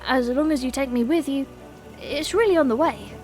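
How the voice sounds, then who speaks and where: A little halfling woman, as a male narrator puts her on, eager and persistent in a very high voice, with faint music in a fantasy tale. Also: moderate pace. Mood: pleading. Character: small eager female halfling, sweetly persistent. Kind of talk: fantasy audio story.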